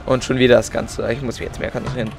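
A man's voice: medium pitch, flat delivery, rapid-fire, vocal fry, music in the background. A young man casually commenting on his own gameplay, chatty and joking. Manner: chatty, joking, mixes in playful English. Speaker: let's play gamer.

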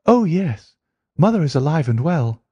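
A man's voice: big pitch swings, mellow, slightly rough, dry recording, dull audio. A man voicing an earnest, flustered young woman pouring out her troubles in a storybook reading. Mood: indignant. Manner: breathless, rushing, rising high pitch.

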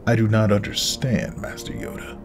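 A man playing a grave, stern council master, formal and measured, in a sci-fi audio drama. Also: on the low side, over music, close to an everyday voice. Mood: grave, doubtful. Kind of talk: fan audio drama acting.

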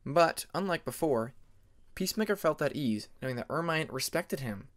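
Male audiobook narrator, scripted fantasy storytelling, expressive pitch movement. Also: normal speed.

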